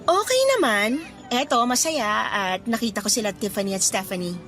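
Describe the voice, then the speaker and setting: A woman acting in a Filipino radio drama as a cheerful, gentle young woman letting down her suitors. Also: mid-range voice, lively intonation, normal speed, faint music behind, close to an everyday voice. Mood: excited, cheerful.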